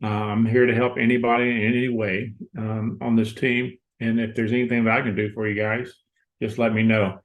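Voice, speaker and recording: A man's voice: medium pitch, level tone, a little fast, rough recording. Man from Florida, easygoing mentor type, rambling motivational chat on a team call. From Florida, United States. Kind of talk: casual motivational talk.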